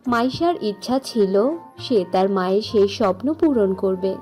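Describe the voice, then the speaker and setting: A woman's voice: mid-range voice, animated, a little slow, darkish tone, faint music behind. A woman narrator reading a scripted fairy tale for a cartoon.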